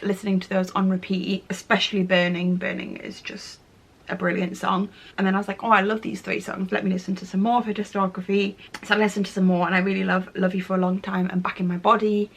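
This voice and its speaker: A woman in her late twenties talks to camera in a loose, chatty way, gushing over things she loves. Her voice is rough and gravelly from a cold, husky and a little nasal. She leans on "really, really" again and again. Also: lowish voice, fairly bright tone. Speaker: lifestyle vlogger. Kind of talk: casual chatty monthly favourites vlog.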